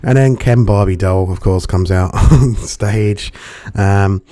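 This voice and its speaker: A hungover man with a gravelly voice and dry throat, rambling through casual, sweary commentary as a gaming reviewer. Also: lowish voice, slow pace, mellow. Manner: rambling, chatty, swears freely. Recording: music playing underneath.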